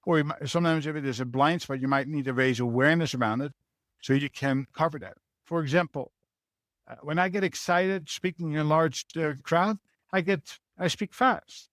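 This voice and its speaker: A man's voice: on the high side, moderate pace, a bit bright, dry recording. A man with a Dutch accent answering interview questions as a leadership mentor, explaining earnestly and often adding a rhetorical "right?". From Netherlands.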